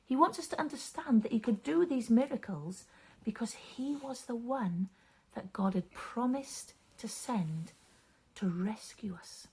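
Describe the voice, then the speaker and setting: A woman storyteller speaks to children in a highish, lively voice. Her phrasing is deliberate and dramatic, and a husky breathiness softens it, often into a hush. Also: poor audio. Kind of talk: expressive story reading and gentle teaching for children.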